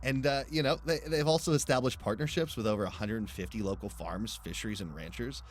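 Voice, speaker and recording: A man's voice: mid-range voice, fast talker, slight background noise. A man reading a podcast sponsor message in a casual, informal, slightly hesitant way. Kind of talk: casual ad read.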